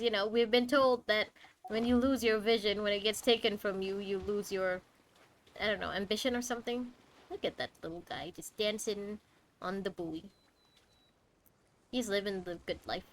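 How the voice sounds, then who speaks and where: A woman's voice: mid-range voice, a little hiss or hum. A woman doing casual live game commentary, reacting aloud in short muttered remarks. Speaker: game streamer.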